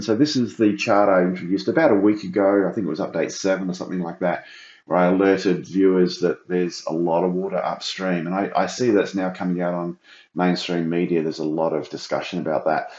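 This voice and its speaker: A middle-aged man with a croaky voice, walking viewers through a flood data report on his screen with a thinking-aloud, explanatory manner. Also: sits low, steady tone, brisk, darkish tone, roomy sound, highs cut off. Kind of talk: screen-share walkthrough.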